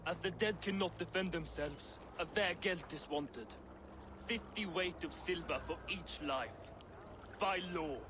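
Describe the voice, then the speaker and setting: An actor playing a stern Viking official in a video game, deliberate, formal and accusatory. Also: male voice, on the high side, background noise, lightly acted. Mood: accusing. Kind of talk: video game cinematic acting.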